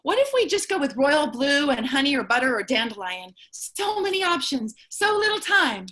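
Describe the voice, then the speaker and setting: A woman playing a lonely, chatty, excitable apocalypse survivor in a theatrical comic staged reading. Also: high voice, moderate pace. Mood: eager, musing. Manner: theatrical, chatty, swings between outbursts and cheer.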